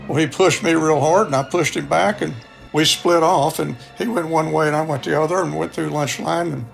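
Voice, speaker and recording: A man's voice: a little high, fairly even intonation, normal speed, gravelly, faint music behind, rough recording. An older man with a Texan accent telling a personal story in a folksy way, acting out the dialogue as he goes. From Texas, United States. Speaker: storyteller, radio show contributor.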